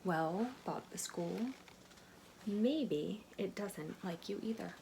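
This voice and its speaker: Storybook talking school building, male, anxious and timid , played by a woman, medium pitch, hesitant delivery. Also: expressive, slow, vocal fry, warm tone, slight background noise, rough recording. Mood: sulky. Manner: hesitant, timid, easily hurt.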